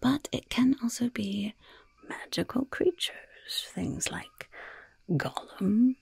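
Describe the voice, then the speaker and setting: A woman voicing a gleeful, mischievous goblin shopkeeper in a breathy, raspy whisper, slowly and slyly savoring every curse and poison in an ASMR roleplay. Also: a little high, a little mellow, no room sound, heavily acted. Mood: sly, confiding. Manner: slow, savoring, slyly polite.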